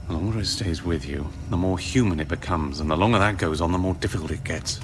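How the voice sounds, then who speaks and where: An actor playing a blunt, cynical scientist in a radio drama, with a sardonic, argumentative way of talking. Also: male voice, deep voice, fast, background noise, close to an everyday voice. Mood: guarded. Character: cynical, blunt male scientist, world-weary realist.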